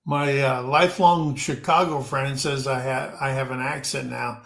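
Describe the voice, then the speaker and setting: A man's voice: medium pitch, steady tone, moderate pace, vocal fry, fairly bright tone. A man hosting a casual panel chat, rambling and repeating himself as he talks. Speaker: talk show host. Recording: echoey room.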